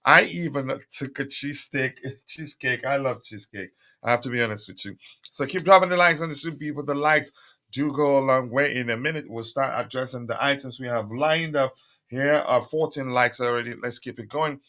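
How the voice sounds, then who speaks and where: Guy hosting a live stream, bright edgy voice, lots of uhs, keeps asking for likes, voice a bit strained. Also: mid-range voice, a little fast. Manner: chatty, many fillers, repeats calls for likes. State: voice strained, recovering. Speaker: livestream host. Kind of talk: casual live stream chat reading comments.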